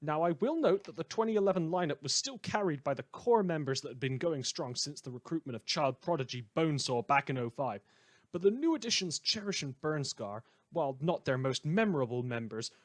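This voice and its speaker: Man playing a lively, flippant radio news host. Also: a little high, natural voice. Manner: rambling, cheerfully flippant, self-correcting. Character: chatty, blithely amoral small-town male radio news host. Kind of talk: satirical fictional radio news broadcast.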